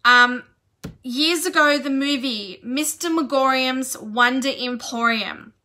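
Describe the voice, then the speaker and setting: A woman's voice: sits high, sharp tone. A woman in her thirties from Australia gives an earnest, encouraging self-development talk to camera at home. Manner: earnest, encouraging, slow and deliberate. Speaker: business and self-development coach. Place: indoor room at home.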